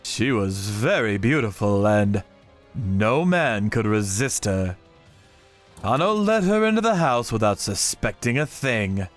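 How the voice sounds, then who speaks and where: A man's voice: lowish voice, big pitch swings, measured pace, soft background music. A man narrating a dramatic story in the first person with theatrical delivery. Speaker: narrator of a manga channel story.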